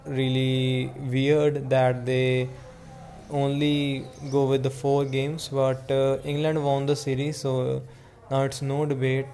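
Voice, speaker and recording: Slow, even-toned man with an Indian accent. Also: mid-range voice, gravelly. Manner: rambling, halting delivery. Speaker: podcast host. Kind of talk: casual solo sports commentary podcast.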